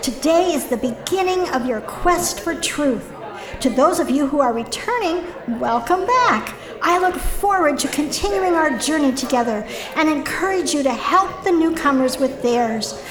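A woman's voice: high-pitched, expressive, moderate pace. A woman playing a devoted, cheerful university dean in an audio drama, giving a formal, sugary, uplifting announcement. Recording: echoing announcement with crowd noise.

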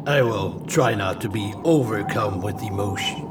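A man playing a polite, skeptical gentleman traveler in a radio play, formal and measured. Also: fairly low pitch, music in the background, natural voice. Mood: dryly skeptical. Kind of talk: amateur radio play acting.

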